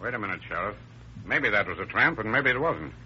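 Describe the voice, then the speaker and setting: An actor playing a shrewd, hard-nosed police inspector in an old-time radio crime drama, with clipped questioning. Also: male voice, fairly low pitch, animated, brisk, sharp tone, slight background noise. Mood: shrewd, businesslike. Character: brusque, shrewd male police inspector interrogating. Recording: dull vintage radio recording.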